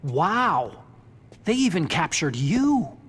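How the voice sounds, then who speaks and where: An actor playing a nervous, eager young scientist sidekick in a video game, boyish and easily flustered. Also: male voice, a little high, sing-song, takes it slowly, heavy background noise. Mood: excited, flustered.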